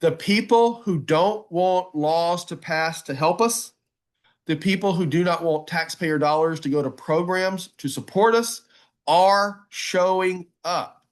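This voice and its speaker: A man's voice: high voice, unhurried. A man giving a motivational advocacy talk, emphatic and folksy, often repeating phrases for weight. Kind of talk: motivational presentation to a group.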